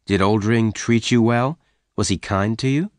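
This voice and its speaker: A man voicing a strong young cowboy in an audiobook, gentle and devoted, speaking in a measured, earnest way. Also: lowish voice, no echo, studio quality, lightly acted. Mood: gently curious.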